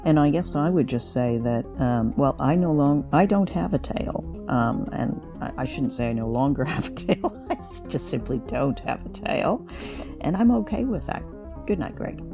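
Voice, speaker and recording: A woman's voice: deep voice, expressive, normal speed, gravelly. A woman hosting a podcast in casual discussion, hesitating and correcting herself as she goes. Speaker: podcast host. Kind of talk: casual discussion of old radio drama. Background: faint music.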